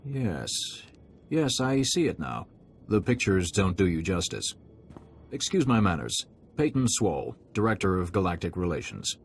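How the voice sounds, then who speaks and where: Low-voiced, polished official. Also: male voice, very animated, unhurried, a little hiss or hum, exaggerated voice. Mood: ingratiating. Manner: polished, formal, ingratiating. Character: smooth, officious male corporate director. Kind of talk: video game cutscene acting. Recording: music behind the voice.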